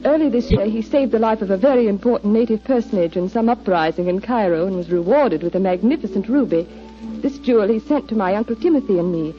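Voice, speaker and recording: A woman's voice: medium pitch, fast talker, lightly acted. An actress playing a genteel, anxious young lady client, polite and earnest, in 1940s radio drama style. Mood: earnest, worried. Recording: dull 1940s radio, music behind.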